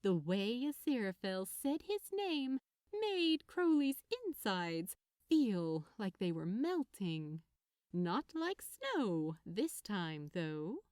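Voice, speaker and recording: A woman narrating a piece of fiction as an expressive scripted reading. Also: medium pitch, slow pace, clean tone, no echo, studio quality.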